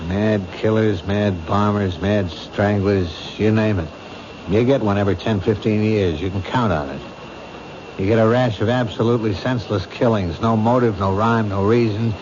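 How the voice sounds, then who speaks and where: An actor playing a world-weary police captain in a 1970s radio drama, brusque, cynical and matter-of-fact. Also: male voice, fairly low pitch, normal speed, lightly acted. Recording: muffled, noisy old radio broadcast.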